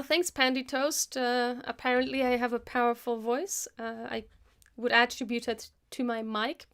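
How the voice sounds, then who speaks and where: A woman hosting a live stream, casually talking through a software tutorial as she works, thinking aloud into a close microphone. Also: on the high side. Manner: casual, chatty. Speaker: host of an animation live stream.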